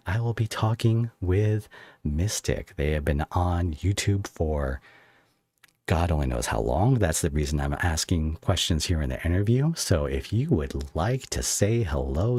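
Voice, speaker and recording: A man's voice: deep voice, lively intonation, a little slow, a little mellow, no room sound. A man hosting a casual livestream podcast interview, effusive and given to rambling as he talks with his guest.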